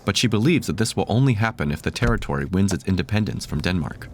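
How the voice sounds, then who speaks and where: A man reading scripted documentary narration in a measured, even tone. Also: low voice, background noise.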